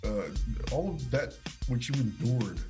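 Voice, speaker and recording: A man's voice: medium pitch, animated, a little slow, over music. A man putting questions to a guest as an interviewer.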